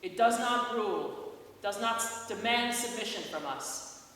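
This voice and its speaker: A man's voice: high-pitched, lively intonation, measured pace, sharp tone, full character voice. A man acting a wise, commanding sage in a fairy-tale play, with solemn, oratorical delivery. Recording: echoey hall from far off, faint noise.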